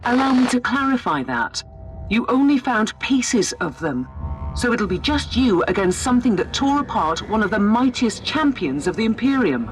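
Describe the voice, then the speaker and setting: A woman playing a skeptical, pragmatic starship captain in a video game, questioning in a crisp, wry way. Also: a little high, very animated, moderate pace, over music, rough recording. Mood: skeptical, concerned. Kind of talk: dramatic video game voice acting.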